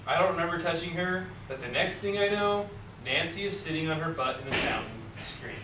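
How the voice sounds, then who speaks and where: A man's voice: fairly high pitch, lively intonation, fairly quick, pushed and bright. Troubled schoolboy hero for reader's theater adult man, sincere storytelling, recorded far from the mic with noise. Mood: defensive. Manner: earnest first-person storytelling. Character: troubled twelve-year-old schoolboy, put-upon, earnest narrator. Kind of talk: amateur reader's theater acting. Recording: echoey room, distant mic, clear noise.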